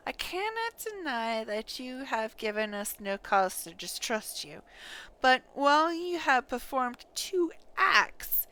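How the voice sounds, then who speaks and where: A woman livestreaming a game playthrough, reading dialogue aloud in a theatrical, deliberate way with muttered asides. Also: fairly high pitch, bright, forceful tone, poor audio. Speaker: game streamer.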